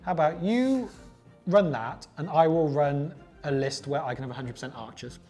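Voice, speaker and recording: A man's voice: a little high, moderate pace, dark tone, soft background music. A man co-hosting a tabletop wargaming show, chatting casually and sometimes reading out rules. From Wales. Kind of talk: casual chat with some reading out of game rules.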